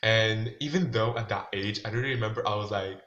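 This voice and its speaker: A man's voice: fairly low pitch, brisk, fairly bright tone, a little hiss or hum. Young man rambling with fillers. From Tunisia. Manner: loose, filler-heavy talk, "like" and "you know what I'm saying" everywhere. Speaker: vlogger. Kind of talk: casual monologue to camera. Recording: roomy and dull, lo-fi.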